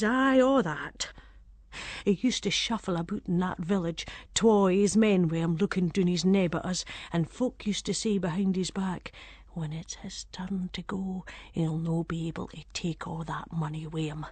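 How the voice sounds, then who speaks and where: A woman's voice: mid-range voice, darkish tone, dry recording, lightly acted. An actress playing a gossipy, superstitious Scottish village woman, chatting conspiratorially in Scots dialect as a dramatic monologue.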